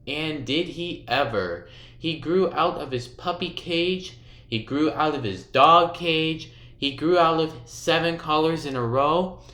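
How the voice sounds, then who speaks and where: A man reading a children's story aloud in a deliberate, expressive way, stressing key words. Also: on the high side, echoey room. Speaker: storybook reader. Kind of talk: children's read-aloud narration.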